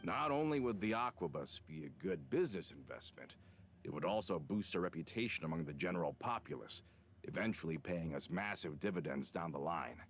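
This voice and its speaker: A very deep, gruff male voice for a video game. He is a loyal older veteran who explains past troubles in earnest. Faint noise sits behind him. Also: lively intonation, fairly quick. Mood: grave explaining.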